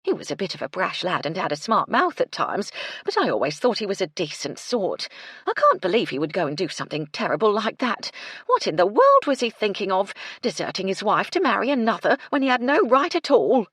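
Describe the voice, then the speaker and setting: A woman voicing an excitable, motherly female housekeeper who frets, scolds and exclaims in a folksy, deferential way. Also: medium pitch, brisk, husky, no room sound, studio quality, voice pushed up, exaggerated voice. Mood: indignant.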